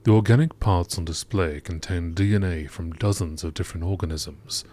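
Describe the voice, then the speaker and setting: A man reading scripted scientific entries in a measured, matter-of-fact nature documentary style. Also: sits low, warm tone. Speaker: narrator reading game lore entries.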